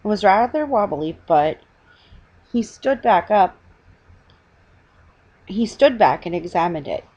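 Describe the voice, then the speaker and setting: A middle-aged woman reads a children's storybook aloud with a husky voice, stumbling now and then and correcting herself. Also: mid-range voice, expressive, warm tone. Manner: halting reading with stumbles and self-corrections. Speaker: reader. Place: small living room at home, indoors. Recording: dull lo-fi webcam sound.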